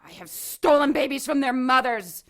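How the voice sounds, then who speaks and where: A woman's voice: high-pitched, normal speed, a bit bright. An actress playing a regal, cruel, paranoid serpent-woman queen in an audio drama, imperious, menacing and theatrical. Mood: cold menace.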